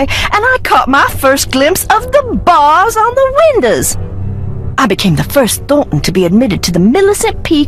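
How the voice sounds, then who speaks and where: An actress playing a bitter, mocking, unstable young heiress in hiding, with theatrical delivery in a video game. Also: female voice, high voice. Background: background music.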